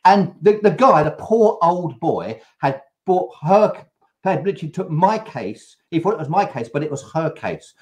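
Older man telling a lively story. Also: a little high, normal speed. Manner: lively anecdotal storytelling with laughs. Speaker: host. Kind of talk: casual live chat, storytelling. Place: home room, video call.

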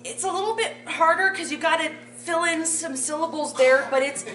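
A woman in her thirties reading aloud from a sheet in a community room, explaining a fake translation in a deadpan, mock-scholarly way. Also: extremely high pitch, normal speed, slight background noise. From Poland. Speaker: bardic performer. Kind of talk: mock translation comedy bit. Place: community meeting room with audience. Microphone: far camera. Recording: echoey room from afar.

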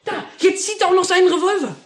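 A woman's voice: very high voice, fast talker. A woman playing a defiant, mocking young boy in a 1950s radio play. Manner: sullen, mocking. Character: defiant, cheeky freckled young boy, sneering at enemies.

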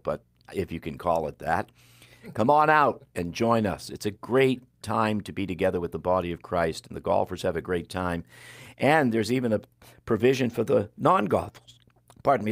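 Older guy hosting radio, really deep voice, dry headset mic, steady announcer pace. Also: no echo. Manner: warm, measured announcer delivery with slow-read phone numbers. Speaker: radio show host. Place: small broadcast studio.

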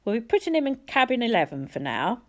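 A woman voicing a kindly older male teacher in a storybook, courteous and gently wry. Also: on the high side, sing-song, fast, pitched above the performer's own voice.

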